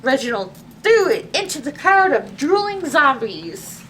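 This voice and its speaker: Bright, animated woman reading a picture book. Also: high-pitched, measured pace. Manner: expressive, addressing young children with asides. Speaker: librarian hosting children's story time. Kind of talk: children's picture book read-aloud. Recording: distant mic with faint background noise.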